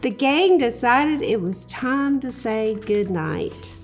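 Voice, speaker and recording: Woman, very lively intonation, unhurried pace, children's storyteller, encouraging, music behind. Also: fairly high pitch. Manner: encouraging, expressive, addressing young listeners directly. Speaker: children's book reader, show host. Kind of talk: animated read-aloud storytelling for children.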